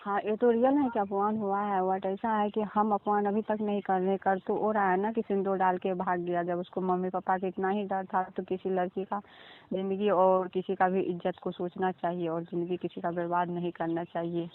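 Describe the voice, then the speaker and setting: A woman's voice: medium pitch, level tone, normal speed. Bihari woman on a tinny phone line. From Bihar, India. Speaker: interviewee, orchestra stage dancer. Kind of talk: phone interview, defending oneself. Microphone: phone call.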